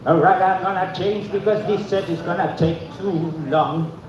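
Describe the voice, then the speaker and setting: A man from Argentina, doing a deadpan, self-deprecating open-mic stand-up set and talking back to the audience. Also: high-pitched, moderate pace, crowd noise. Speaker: stand-up comedian. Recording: echoey room from far off, dull, noisy.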